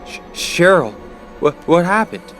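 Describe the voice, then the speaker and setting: A man's voice: high-pitched, very animated, unhurried, a bit bright, full character voice. An actor playing a firm teenage boy who steps in between friends in a radio drama. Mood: shocked. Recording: music under the dialogue.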